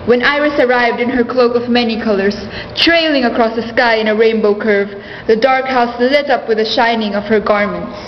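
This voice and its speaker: A woman's voice: a little high, normal speed, a little hiss or hum, close to an everyday voice. A woman narrating a myth in a scripted storybook style, as the narrator of a radio play. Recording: muffled, echoing, music behind.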